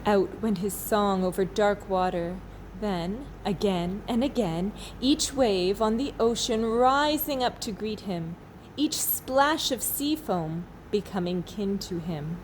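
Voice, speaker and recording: A woman teacher reading a children's storybook aloud expressively, with dramatic pauses. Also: mid-range voice, a little hiss or hum, poor audio. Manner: slow, dramatic pauses, asks listeners questions.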